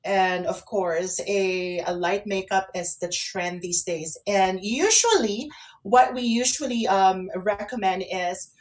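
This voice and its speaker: A woman's voice: mid-range voice, slow pace. A woman co-hosting a casual online talk show, explaining things and giving advice. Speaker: co-host and makeup artist.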